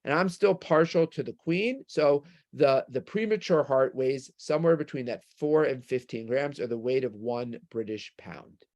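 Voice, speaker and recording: A man's voice: mid-range voice, moderate pace, few pauses, darkish tone. A man with a New York accent giving a medical lecture with slides, explaining things step by step and posing rhetorical questions.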